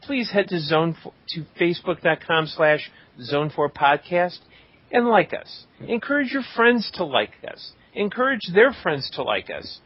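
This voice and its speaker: Guy hosting a podcast, jokey casual chat, highish voice, kinda dull lo-fi audio. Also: big pitch swings, unhurried. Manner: joking, tongue-in-cheek. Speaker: podcast host. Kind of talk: casual chat reading out listener shout-outs. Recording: dull lo-fi voice recording.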